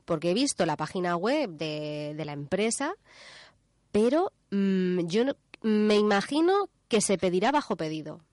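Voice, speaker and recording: A woman's voice: medium pitch, big pitch swings, a little slow, darkish tone, no room sound. Enthusiastic Spanish woman, dry close sound. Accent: Spanish from Spain. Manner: enthusiastic, chatty. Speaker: radio show co-host presenting a news and gadgets section. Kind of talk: informal radio chat.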